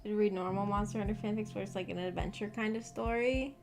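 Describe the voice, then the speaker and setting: A woman's voice: medium pitch, fairly even intonation, fast, darkish tone. A young adult woman chatting casually on a livestream, rambling and thinking aloud as she answers her viewers. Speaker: streamer, host of a visual novel book club. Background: background music.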